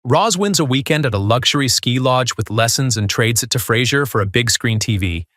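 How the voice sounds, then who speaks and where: A man's voice: mid-range voice, animated, a little fast, a bit bright, no room sound, clean studio sound. A man hosting a video countdown, reading a scripted narration.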